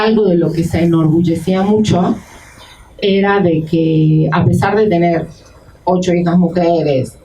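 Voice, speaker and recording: A woman in her fifties from Uruguay tells a reflective personal story in a panel discussion, pausing often as she thinks aloud. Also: fairly low pitch, mellow. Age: 50s. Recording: distant mic with clear noise.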